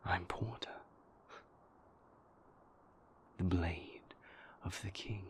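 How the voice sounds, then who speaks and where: Man acting a low, whispering, brooding vampire. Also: fairly bright tone, full character voice. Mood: hurt, bitter. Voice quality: breathy, whispery, creaky. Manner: halting, deliberate, confessional, formal wording. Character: brooding, weary male vampire, a king's conflicted enforcer and lover. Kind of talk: intimate dramatic audio roleplay monologue.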